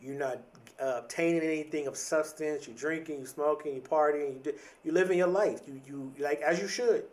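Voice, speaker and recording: A man's voice: on the high side, a little fast, darkish tone. A man in his late thirties hosting a podcast, giving a casual motivational monologue in a reflective, conversational way, repeating phrases for emphasis. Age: late 30s. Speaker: podcast host.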